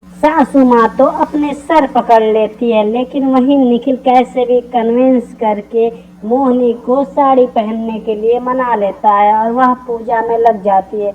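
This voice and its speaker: A woman's voice: a little high, fairly even intonation, unhurried, narrow, tinny audio. A woman narrating a scripted story.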